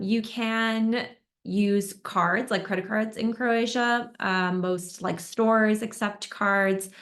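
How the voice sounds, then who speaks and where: A woman's voice: mid-range voice, fairly bright tone. A young American woman giving a webinar presentation on a video call, friendly, with many ums and uhs. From United States. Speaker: tour destination expert. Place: indoors at home in a room.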